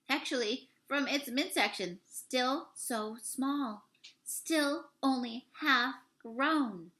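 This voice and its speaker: Bright, higher-voiced woman reading a story aloud. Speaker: teacher reading a novel aloud. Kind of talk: expressive storybook narration.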